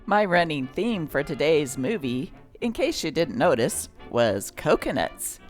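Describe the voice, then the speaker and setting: A woman's voice: low voice, big pitch swings, a little slow, faint music behind. A woman hosting and reading old newspaper articles aloud in a scripted narration style.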